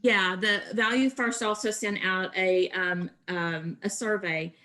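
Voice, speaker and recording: A woman giving an informal work briefing as a staffer on a group call, halting, with frequent filled pauses and repeated words. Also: medium pitch, steady tone, sharp tone. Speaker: association staffer giving updates on a provider call.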